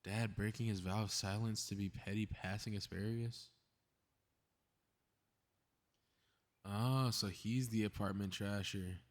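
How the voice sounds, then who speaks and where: Low-voiced, snarky superhero played by a man. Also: steady tone, moderate pace, lightly acted. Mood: sarcastic, irritated. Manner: sarcastic, muttering asides, casually profane. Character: cocky, snarky young male superhero playing invisible mischief-maker. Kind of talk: scripted ASMR roleplay audio drama monologue.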